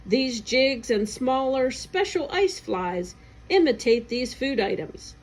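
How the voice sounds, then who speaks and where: A woman reading an educational newsletter aloud in careful, clearly separated phrases. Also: high-pitched, lively intonation, slow. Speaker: agency staff reader.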